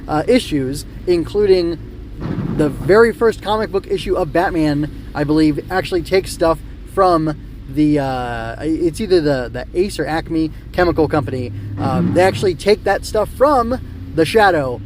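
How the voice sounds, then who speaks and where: Male podcast host, slightly high voice, digressive and unscripted, faint vehicle-like noise behind. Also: a little slow. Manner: rambling, self-correcting, digressive. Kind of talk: unscripted solo podcast talk.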